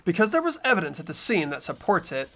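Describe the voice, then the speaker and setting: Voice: medium pitch, very animated, quick pace, pushed and bright, soft background music. A performer voicing an earnest teenage boy investigator who argues through the evidence in a fan dub of a game. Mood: reasoning.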